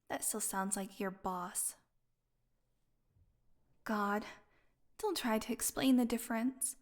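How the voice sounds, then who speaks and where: Slow, high-voiced, sardonic vampire woman played by an actress. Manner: sarcastic, teasing, drifting into breathy whispers. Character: captive starved vampire woman, flirty, sardonic, taunting her hunter. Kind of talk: audio roleplay acting, intimate one-sided dialogue.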